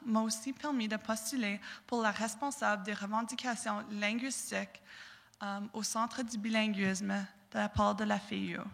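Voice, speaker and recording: A young woman with a southwestern Ontario French accent speaks earnestly in a slightly high voice with a gravelly fry. Her words ring out with a long reverb from a lecture hall. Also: a bit bright. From southwestern Ontario, Canada. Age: young adult. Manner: earnest, declamatory with occasional restarts. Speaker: student speaker. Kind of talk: prepared talk on stage.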